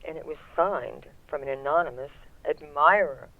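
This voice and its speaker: A woman playing a sweet, genteel mother who gently advises her grown daughter over a telephone filter, in old-time radio comedy style. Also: low voice, normal speed. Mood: pleased. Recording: thin, phone-like narrow sound.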